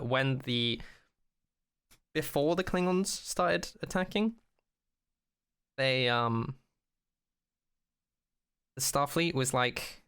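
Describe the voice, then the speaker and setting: A man co-hosting a podcast, chatting casually and opinionatedly, acting out mock quotes in an animated way and swearing freely. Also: mid-range voice, clear, resonant tone. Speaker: podcast co-host. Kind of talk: casual chat, opinionated rant about a TV show.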